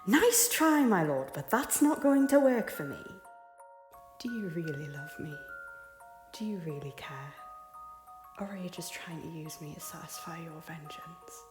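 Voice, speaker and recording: A woman's voice: sits low, animated, measured pace, music in the background. A woman as a tormented, defiant noble lady, echoing. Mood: anguished, resolute. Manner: theatrical, breathy whispers breaking into intense declarations. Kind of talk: dramatic audio drama acting. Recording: echoey hall with long reverb.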